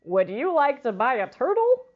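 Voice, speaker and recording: A woman voicing a polite, eager travelling pet seller, a man, in a children's storybook, with a questioning sales-pitch manner. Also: big pitch swings, moderate pace, phone-quality sound. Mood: eager, offering. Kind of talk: children's storybook read-aloud.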